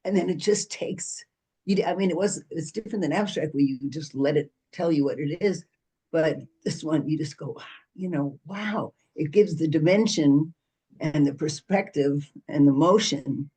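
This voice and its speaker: A man's voice: on the high side, normal speed, warm tone, husky. A man on an arts panel telling a rambling anecdote, full of "so" and "you know". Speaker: panelist, arts organization president.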